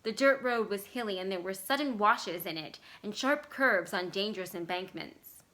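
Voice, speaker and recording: A woman reading literary fiction aloud as expressive scripted narration. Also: mid-range voice, normal speed. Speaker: library staff story reader.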